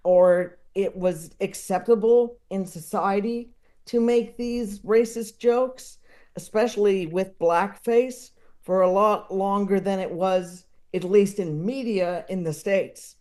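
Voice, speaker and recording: Female podcast guest, mellow tone, mid pitch, informal and halting delivery. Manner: halting, searching for words. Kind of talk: casual chat.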